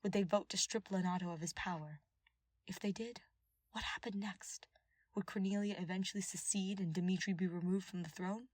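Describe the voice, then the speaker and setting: A woman reading scripted fiction aloud as an expressive audiobook narrator. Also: mid-range voice, brisk, no room sound.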